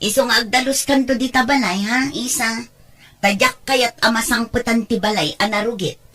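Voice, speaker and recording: An actress plays a weary, short-tempered mother in a radio drama. Her voice sits fairly high, and she speaks in a complaining, scolding way. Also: steady tone, normal speed, fairly bright tone. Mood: weary, instructing.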